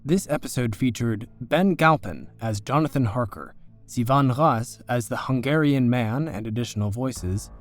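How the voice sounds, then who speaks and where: A man's voice: mid-range voice, normal speed, soft background music. A man acting as announcer, reading scripted end credits.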